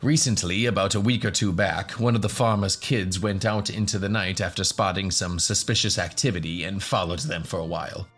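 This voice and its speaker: A man's voice: low voice, steady tone, brisk, hardly any pauses, a bit bright, slightly rough, soft background music. A man playing a courteous professor in a fantasy radio story, polite, slightly hesitant and explanatory. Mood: earnest, explanatory. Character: courteous male professor hosting a band of adventurers.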